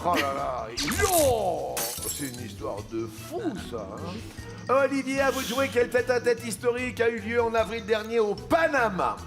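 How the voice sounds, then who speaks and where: A male game show host with a high voice and a theatrical, teasing delivery, presenting a quiz with playful banter. Music plays behind him in the TV studio. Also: sing-song, unhurried, vocal fry. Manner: theatrical, teasing, breaks into snatches of song.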